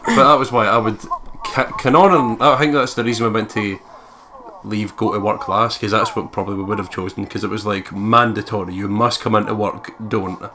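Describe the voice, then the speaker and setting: A man's voice: on the low side, steady tone, fairly quick. A man with a Scottish accent, doing casual gaming commentary and reading out game narration. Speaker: let's play commentator.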